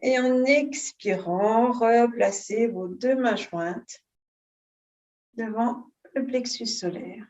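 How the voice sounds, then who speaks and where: A woman teaching yoga, giving calm, unhurried and soothing guidance on posture and breathing. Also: on the high side, muffled recording. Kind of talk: guided yoga and breathing instruction.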